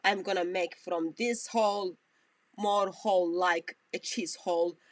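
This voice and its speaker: A woman commentator, not a native English speaker, talking through a clip in halting, self-correcting phrases. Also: lowish voice, fairly even intonation, no room sound. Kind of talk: reaction commentary on a played clip.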